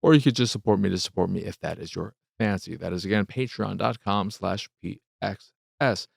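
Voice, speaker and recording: A man with a low voice full of fry talks through a casual game review as host. He rambles, circles back to repeat his points and swears offhandedly. The sound is close and dry. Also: brisk, no room sound.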